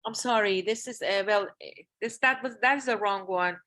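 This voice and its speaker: A woman from Iran giving an online conference presentation as a guest speaker, halting and searching for words. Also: medium pitch, pushed and bright.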